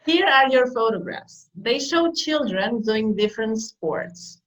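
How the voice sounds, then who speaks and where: A woman acting as examiner in a mock speaking exam, asking set questions in a measured, formal way. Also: on the high side, animated, dull audio.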